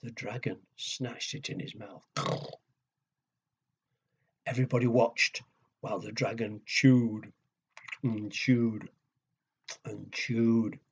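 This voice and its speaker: A man telling a story to young children, with a husky voice, hushed dramatic pauses and simple questions to his listeners. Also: medium pitch, fairly even intonation, a little hiss or hum. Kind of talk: children's storytelling and nursery rhymes. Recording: dull lo-fi recording.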